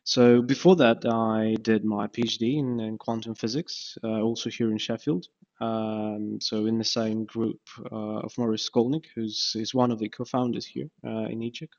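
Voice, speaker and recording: Man, startup founder, from Ukraine, monotone delivery, fillers and restarts. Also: fairly low pitch, moderate pace, gravelly. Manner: hesitant, many fillers and restarts. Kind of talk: casual interview talk. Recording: dull lo-fi podcast audio.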